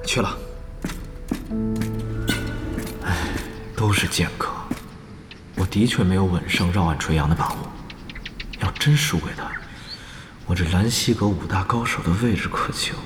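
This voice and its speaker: A man's voice: low-pitched, moderate pace, long pauses, close to an everyday voice. A man playing a thoughtful, competitive guild swordsman in a Chinese audio drama, given to musing, sighing asides. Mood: pensive. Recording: long hall reverb, music behind.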